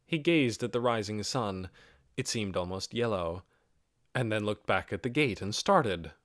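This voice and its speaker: A man with a fairly low voice narrates a fantasy novel. The delivery is scripted storytelling, and the sound is dry, with very little room in it. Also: no room sound. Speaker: audiobook narrator.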